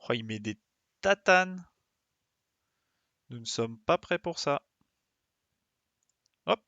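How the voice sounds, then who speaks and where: A man with a creaky, gravelly voice streams a video game. He thinks aloud casually, muttering to himself and dropping in little playful sound effects. The sound is close and dry, with no room around it. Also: fairly high pitch, animated, takes it slowly, pushed and bright, dry recording, muffled recording. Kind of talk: casual live gameplay commentary.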